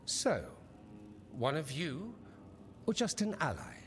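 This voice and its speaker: A man's voice: a little high, huge pitch swings, slow, fairly bright tone, exaggerated voice. A man playing a suave, witty, self-assured mage in a fantasy game, with a dry, sardonic, theatrical delivery. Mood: assured, teasing. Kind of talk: dramatic game dialogue. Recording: reverberant hall, music underneath.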